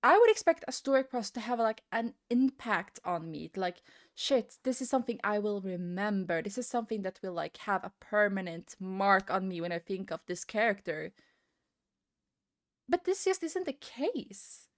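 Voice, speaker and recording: A woman's voice: medium pitch, animated, normal speed. A woman giving a casual, rambling opinion rant as a gaming video creator, often hesitating and repeating herself.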